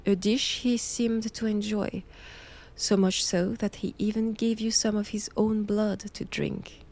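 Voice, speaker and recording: Woman playing a knowing nun captor, French accent, unhurried slow delivery, teasing menace, archaic formal wording, intimate audio roleplay. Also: medium pitch, dark tone. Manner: measured, teasing, formal old-fashioned phrasing.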